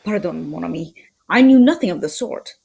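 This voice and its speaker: Lady doing a fussy precise detective guy, self-assured, husky, really swoopy delivery, old radio mystery. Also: fairly low pitch, lively intonation, brisk, dark tone, exaggerated voice. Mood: patient, explaining.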